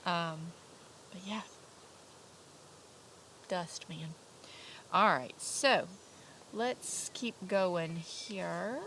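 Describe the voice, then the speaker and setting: A fairly low-voiced woman talks a class of young children through a lesson from outdoors, her voice a little stuffy from a blocked nose. She encourages the kids, fills pauses with ums and likes, and breaks into simple teaching songs. Crickets and insects chirp clearly behind her. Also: a little hiss or hum. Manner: warm, encouraging, with many fillers. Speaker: kindergarten teacher. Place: outdoors in a garden by an orange tree.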